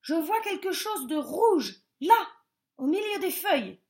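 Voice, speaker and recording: A woman's voice: very high-pitched, very animated, a little slow, pitched above the performer's own voice, exaggerated voice. A woman doing eager little rabbits. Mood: excited.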